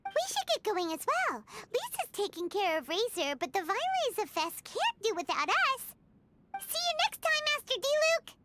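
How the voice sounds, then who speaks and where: A woman voicing a tiny, cheerful fairy girl companion in a video game, with a childlike squeaky voice and exclamatory delivery. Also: very animated, moderate pace, heavy background noise. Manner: exclamatory, refers to herself by name. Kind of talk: video game character voice acting.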